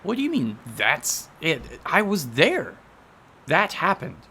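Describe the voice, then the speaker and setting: Haunted, intense horror writer, creaky voice. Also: male voice, on the high side, wildly swooping pitch, slow, sharp tone, full character voice. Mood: indignant, insistent. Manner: earnest, articulate, florid. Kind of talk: theatrical radio drama acting.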